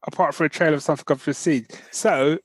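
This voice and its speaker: A man's voice: on the high side, steady tone, quick pace, dry recording. A man co-hosting a podcast, chatting casually about geek news in a jokey, slangy, conversational way. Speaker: podcast co-host.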